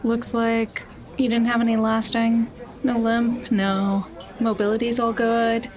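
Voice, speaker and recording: A woman's voice: a little high, little pitch movement, a little slow. A woman playing a tabletop role-playing game, speaking in an informal, conversational way. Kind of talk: casual role-play chat.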